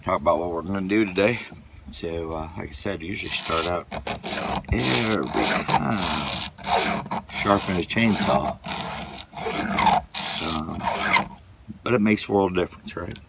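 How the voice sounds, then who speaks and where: Hoarse middle-aged man, very low voice, casual rambling vlogger, outdoor noise behind him. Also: moderate pace, pauses often. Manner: rambling, lots of fillers and restarts. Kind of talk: casual outdoor vlog narration. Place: outdoors on wooded property.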